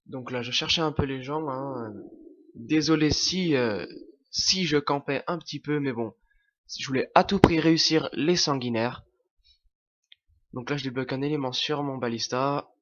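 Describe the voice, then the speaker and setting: A man's voice: medium pitch, moderate pace, a bit bright, muffled recording. A young man with a French accent, who has a cold, commenting casually on his own gameplay, hesitant and full of fillers and restarts.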